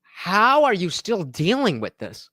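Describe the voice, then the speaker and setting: Lively, animated male streamer. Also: sits high, no room sound, clean studio sound. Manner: animated, profane, interrupting with quick asides. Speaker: livestream host reacting to a debate clip. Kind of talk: live reaction stream commentary.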